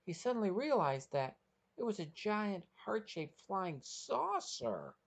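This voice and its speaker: A man's voice: sits high, big pitch swings, takes it slowly, vocal fry. A man hosting a podcast and narrating a short story from a script.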